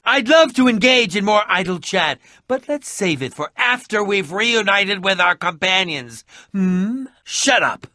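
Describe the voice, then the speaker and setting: A man's voice: sits high, sharp tone, no echo, studio quality, full character voice. An actor playing an eccentric, prickly male adventurer in a video game, with a pompous, melodramatic and sardonic manner. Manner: theatrical, pompous, sardonic, formal wording. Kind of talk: animated video game voice acting.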